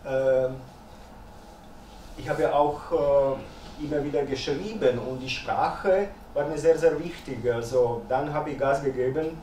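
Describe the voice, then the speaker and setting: A man's voice: medium pitch, measured pace, warm tone. A man in his fifties from Croatia, speaking German as a second language with a Croatian accent, giving a hesitant lecture full of "äh" fillers. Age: 50s. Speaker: guest speaker. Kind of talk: lecture talk. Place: dim lecture salon with projector, small audience. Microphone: far room camera. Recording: echoey room, distant mic, faint noise.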